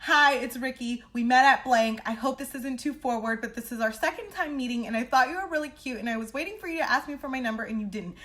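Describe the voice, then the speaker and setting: A young woman with a bright, forceful, fairly high voice tells a casual personal story. She is blunt and slangy, swears freely, and acts out the dialogue dramatically as she goes. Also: fast, hardly any pauses. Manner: animated, dramatic re-enacting of dialogue. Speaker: storytelling vlogger.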